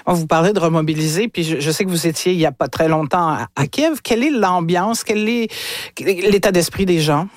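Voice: mid-range voice, fairly quick, dry recording. News host, close dry sound. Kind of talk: news presentation and interview.

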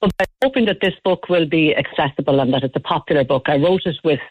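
A woman's voice: deep voice, fast, husky, no room sound. An Irish woman, a lecturer and author, speaking as a radio guest, thoughtful with many fillers and restarts. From Ireland. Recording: tinny phone line.

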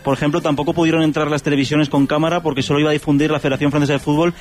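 Fast-talking male correspondent. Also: a little high, little pitch movement, darkish tone, soft background music. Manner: quick, hedging, reticent about private matters. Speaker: football correspondent. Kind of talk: casual radio chat.

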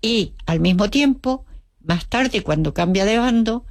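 A woman's voice: mid-range voice, expressive, measured pace, no room sound, clean studio sound. An older woman with an Andalusian Spanish accent, Russian by mother tongue, telling her memories as an interview guest with wry humor and acted-out quotes. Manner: vivid storyteller, acts out quotes, wry humor. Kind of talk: storytelling reminiscence.

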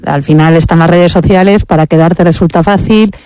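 Mellow woman. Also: fairly low pitch, steady tone, brisk, no echo. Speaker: interview guest, member of a swing dance association. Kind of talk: casual conversational interview answers.

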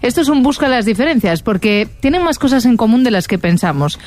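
A woman hosts a satirical chat show in a slightly higher-pitched voice. She is wry and ironic and likes to repeat clip lines back for effect. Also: very animated, a little fast, a little mellow. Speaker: radio show host.

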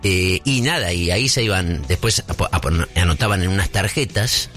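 Deep-voiced Buenos Aires man with lively intonation. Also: a little fast, faint music behind. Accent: Rioplatense Spanish, Buenos Aires. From Buenos Aires, Argentina. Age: 40s. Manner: rambling, conversational, seeks agreement with tag questions. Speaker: host delivering a comic monologue. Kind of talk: casual comic monologue, thinking out loud.

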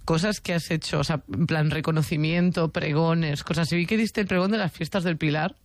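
A woman's voice: low-pitched, a little fast. A woman with an accent from Spain hosting a casual, informal interview, feeling her way through her phrasing. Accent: Spanish from Spain. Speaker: talk show host.

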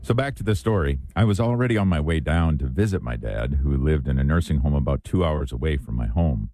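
A middle-aged male host with a hoarse, raspy voice that sits extremely low and mellow. He speaks in an earnest, reflective way on a spiritual talk show. The sound is dry and close. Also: no room sound.

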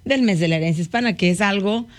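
A woman's voice: mid-range voice, fast talker, dry recording. A woman from Mexico hosting a morning radio talk show, chatty with frequent fillers and backchannel interjections.

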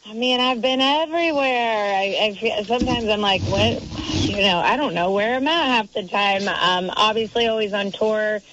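A woman's voice: mid-range voice, normal speed, a bit bright, slight background noise. A female singer calling in by phone, chatty and upbeat, laughing easily. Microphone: phone line. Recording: dull phone line.

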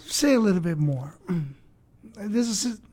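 A woman hosting an interview who asks gentle, probing questions. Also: mid-range voice, sing-song, takes it slowly. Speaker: host.

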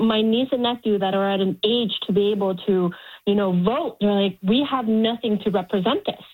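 Over a muffled, narrow phone line, a middle-aged woman gives her views to a radio show. She is frank and emphatic, speaking off the cuff and repeating words to press a point. Her pitch sits in an ordinary range for a woman. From Montreal, Canada. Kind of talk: spontaneous opinionated phone-in talk.

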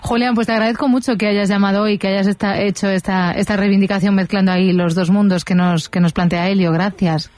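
A woman's voice: mid-range voice, steady tone, brisk, few pauses, a little mellow, no echo. A woman with an accent from Spain, hosting a radio call-in show in a casual, chatty way. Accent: Spanish, from Spain. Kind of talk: casual phone-in chat. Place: radio studio.

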